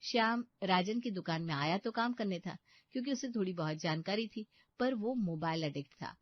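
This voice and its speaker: A woman's voice: mid-range voice, moderate pace, no echo, lo-fi sound. A woman reading a scripted storybook narration as the storyteller.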